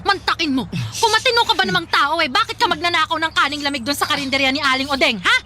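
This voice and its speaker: A woman's voice: high-pitched, fast, lightly acted. A woman playing a cruel, hot-tempered Filipino mother in a radio drama, scolding and threatening her son harshly. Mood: furious, scolding. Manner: harsh, threatening, taunting shouts. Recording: loud dramatic music underneath.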